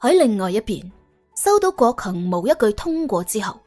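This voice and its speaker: Very animated husky woman storyteller. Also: medium pitch, moderate pace, soft background music. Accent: Hong Kong or Guangdong Cantonese. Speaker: audiobook narrator. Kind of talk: colourful scripted story narration.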